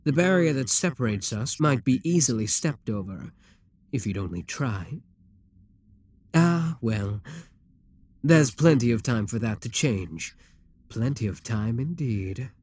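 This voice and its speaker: A man playing a husky, scheming demon king. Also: medium pitch, expressive, unhurried, pauses often, a little mellow. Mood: coaxing. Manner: coldly menacing, coaxing and exasperated. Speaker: voice actor. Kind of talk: audio roleplay.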